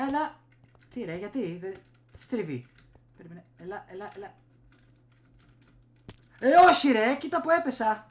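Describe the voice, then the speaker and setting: A young man giving casual gameplay commentary, muttering and reacting in short bursts. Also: sits high. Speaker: gaming streamer. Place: small room indoors at home. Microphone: desk USB microphone.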